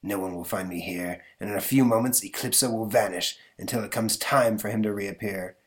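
A man voicing a gloating male supervillain in a comic book read-aloud, low and calm. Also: a little fast, close to an everyday voice.

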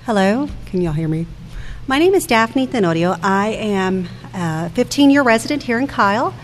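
A woman's voice: medium pitch, animated, moderate pace. Woman, forties, local candidate giving direct, earnest remarks, reverberant hall with faint noise behind. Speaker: city council candidate. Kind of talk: prepared campaign remarks at a public forum. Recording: echoey hall with background noise.

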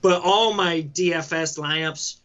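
A man's voice: fairly high pitch, unhurried, a bit bright. A man co-hosting a sports podcast in easygoing casual chat. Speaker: podcast co-host. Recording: dull lo-fi mic.

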